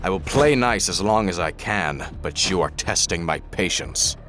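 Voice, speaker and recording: A man voicing a cold, controlled, quietly threatening tycoon in an animated series, speaking curtly. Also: low-pitched, moderate pace, music in the background. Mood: cold, impatient. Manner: curt, controlled.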